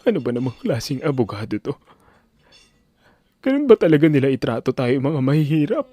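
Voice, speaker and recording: A man's voice: mid-range voice, animated, a little fast, dark tone, no room sound, lightly acted. A man playing an anguished, fiercely protective young son in an emotional audio drama. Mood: bitter. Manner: emotional, pleading, confrontational.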